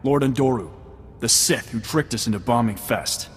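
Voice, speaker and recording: An actor playing an embittered, loyal soldier of a prisoner commando unit in a video game, intense and emotional. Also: male voice, medium pitch, normal speed, natural voice. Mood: bitter, urgent. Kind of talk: video game dramatic dialogue. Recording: long reverb, music underneath.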